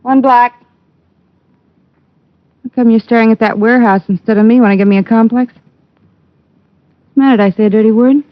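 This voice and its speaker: A woman's voice: a little high, fairly even intonation, normal speed, long pauses, no echo, natural voice. A woman playing a brash, man-hungry coffee shop waitress in 1940s radio drama, forward and wisecracking. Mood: flirty, needling. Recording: muffled dry old radio recording.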